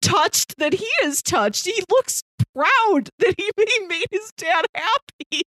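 A woman's voice: extremely high pitch, lively intonation, slow pace, bright, forceful tone. A woman co-hosting a podcast, casually recapping a story and acting out quotes as she retells it. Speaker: podcast co-host.

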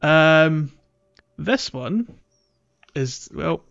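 A man's voice: on the high side, lively intonation, very slow, vocal fry, a bit bright, no room sound, highs cut off, faint music behind. A man co-hosting a gaming podcast in casual chat, hesitating as he searches for words. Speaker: podcast co-host.

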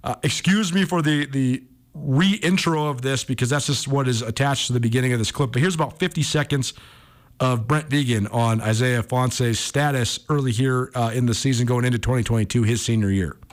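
Male sports radio host, medium pitch, chatty and informal commentary with an enthusiastic streak. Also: fairly quick.

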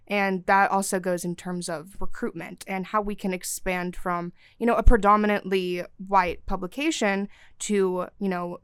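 A young woman from Syria speaks in an earnest, fluent way, often leaning on "you know." Her voice is smooth and clear, a touch lower than most, recorded close and dry with no room sound. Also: no room sound. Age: young adult. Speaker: guest, news desk assistant and DEI chair. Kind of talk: podcast interview answers.

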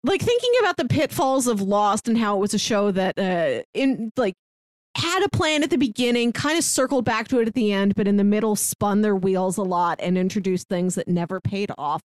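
A woman co-hosting a podcast, chatting casually and excitedly about a TV show, laughing often. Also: medium pitch, fairly quick, no room sound. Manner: animated, laughs often, acts out quotes. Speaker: podcast co-host. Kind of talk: casual chat reviewing a TV show.

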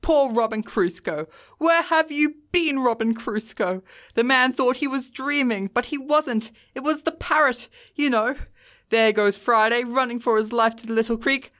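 A woman's voice: fairly high pitch, normal speed, a bit bright, voice pushed up, lightly acted. A woman voicing an emotional old man in a Victorian storybook, exclaiming earnestly between laughter and tears. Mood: excited delight. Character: old man Scrooge, overexcited, tearful, boyishly delighted. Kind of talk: audiobook character voice, Victorian storybook.

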